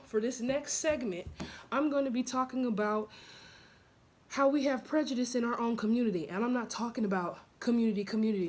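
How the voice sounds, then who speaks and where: A woman's voice: on the high side, slight background noise. A young woman speaking casually to camera in a blunt, chatty vlog. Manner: blunt, animated, chatty with laughs and asides. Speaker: vlogger.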